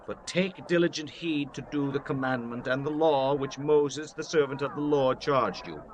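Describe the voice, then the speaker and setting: A man's voice: medium pitch, moderate pace, a little hiss or hum, lightly acted. A man playing a solemn Israelite leader who exhorts his people formally in a dramatized scripture audiobook. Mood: solemn exhorting. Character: Joshua, solemn male Israelite leader addressing his tribes.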